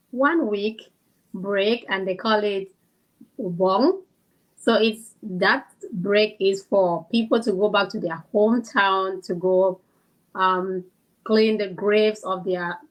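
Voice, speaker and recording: A woman's voice: medium pitch, rough recording. A woman with a Cameroonian accent chatting casually as the guest in an interview. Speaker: interview guest, kindergarten teacher.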